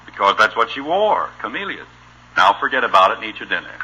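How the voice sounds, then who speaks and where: An actor playing an exasperated middle-aged American father in a 1950s radio sitcom, gruff, impatient and dryly sardonic, on an old broadcast recording. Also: on the low side, sing-song, fast talker, background noise. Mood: irritated, grumbling. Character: exasperated, no-nonsense family father at the dinner table.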